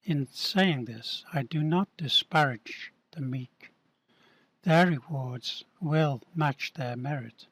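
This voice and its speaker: Guy doing a grave measured preacher for a radio play, real slow, dry sound. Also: fairly high pitch, a bit bright, husky, no echo, full character voice. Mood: earnest, preaching. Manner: slow, deliberate sermon-like delivery. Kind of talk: amateur radio drama reading.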